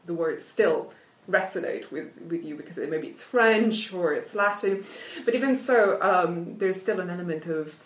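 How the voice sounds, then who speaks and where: A woman with a lowish voice whose first language is Italian, musing aloud about literature. She talks thoughtfully, with lots of fillers and restarts. She sounds a little far from the mic, in an echoey room. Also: lively intonation, normal speed, hardly any pauses. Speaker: literature academic. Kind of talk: conversational interview.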